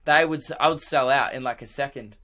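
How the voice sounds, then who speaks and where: A young man with a hoarse, worn-out voice, rambling excitedly on his own podcast, laughing and swearing as he goes. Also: mid-range voice, fast talker. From Australia. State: hoarse, lost voice. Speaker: podcast host and radio presenter. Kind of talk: casual solo podcast chat.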